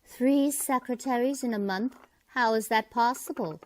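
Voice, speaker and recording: A woman's voice: a little high, measured pace, natural voice. A woman playing a kindly, chatty landlady in old-time radio comedy, folksy and fussing. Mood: sympathetic. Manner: folksy, fussing over her lodger.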